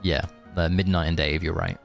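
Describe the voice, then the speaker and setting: A man's voice: low voice, fairly even intonation, normal speed, vocal fry, soft background music. A man chatting informally and chummily to viewers as he streams a game. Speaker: game livestreamer. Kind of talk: casual livestream chat and commentary.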